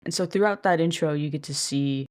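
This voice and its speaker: Monotone woman hosting a casual podcast. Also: sits low, fairly quick. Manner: self-described monotone delivery; chatty, self-deprecating, mild swearing. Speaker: podcast host. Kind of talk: casual solo commentary.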